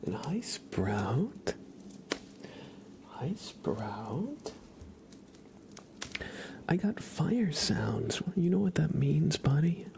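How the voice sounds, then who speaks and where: A man hosting an ASMR livestream, chatting casually to viewers in a hushed, soothing whisper. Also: a little high, very animated, very slow, vocal fry, long pauses, background noise. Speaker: livestream host.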